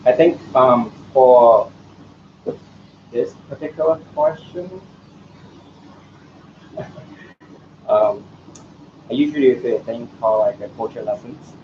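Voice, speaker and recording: A man's voice: medium pitch, takes it slowly, long pauses, a little hiss or hum. A man answering candidate questions at a student election forum, hesitant and full of ums and restarts. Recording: echoey room, dull lo-fi sound.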